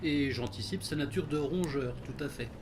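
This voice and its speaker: Man acting a prim, higher-voiced fussy butler. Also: moderate pace, background noise, natural voice. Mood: uneasy, indignant. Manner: formal, wordy, deferential, prim. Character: fussy, formal, devoted male butler, anxious and prim. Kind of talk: audio drama acting.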